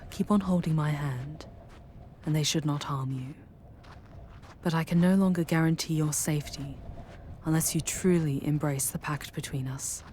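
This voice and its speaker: A woman voicing a charming, darkly seductive demoness in a scripted ASMR roleplay, speaking in measured, ornate, ominous phrases that drift toward breathy near-whispers. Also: low voice, a little mellow, a little hiss or hum, lightly acted. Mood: grave, cautioning. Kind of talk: scripted ASMR audio roleplay, dramatic monologue.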